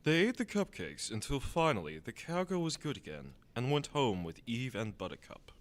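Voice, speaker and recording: A man's voice: medium pitch, very animated, normal speed. A teenage young man reading scripted storybook narration for a children's radio play. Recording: acoustic guitar music behind.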